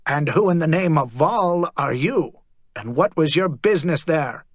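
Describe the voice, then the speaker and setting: A man's voice: on the high side, animated, unhurried, dry recording, clean studio sound. A man narrating a story and voicing a haughty, wire-thin male inquisitor who tries to intimidate.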